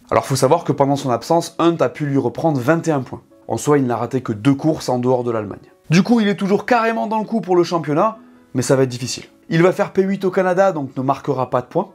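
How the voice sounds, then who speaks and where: A man's voice: on the high side, darkish tone. A man hosting a video, narrating a story in a conversational, emphatic way and addressing listeners directly. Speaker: YouTube host telling motorsport stories. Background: background music.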